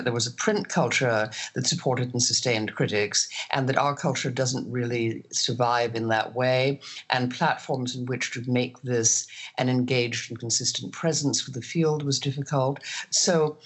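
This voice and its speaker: A woman speaking as a producer on a discussion panel, articulate, formal and academic in her phrasing, with a husky voice. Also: very deep voice. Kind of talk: thoughtful roundtable discussion.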